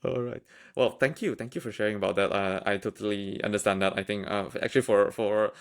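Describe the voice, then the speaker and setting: A young man hosting a podcast, speaking in a halting, friendly way with many repeated words. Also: fairly low pitch, fairly even intonation. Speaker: podcast host. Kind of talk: casual conversational interview.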